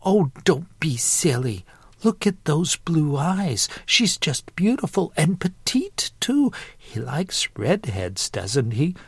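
A proper old lady of storybook fiction acted out by a man, raised in pitch and unhurried. She is proud, nosy and quick to scold. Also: animated, no echo. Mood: fond. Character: prim, proud elderly Southern great-aunt, easily scandalized.

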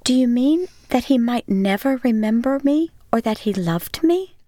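एक महिला द्वारा पढ़ी गई चिंतित, दुखी युवा पत्नी, ऊँची विनती भरी आवाज़, ऑडियोबुक. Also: female voice, animated, normal speed, warm tone, no echo, studio quality, close to an everyday voice. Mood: distressed. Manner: pleading, higher than her narration. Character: young wife, anxious and grieving.

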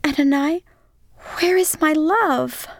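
A woman's voice: slow, no echo, studio quality. A woman voicing an anxious young wife who pleads in a raised voice. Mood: pleading. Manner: pleading, higher than her narration.

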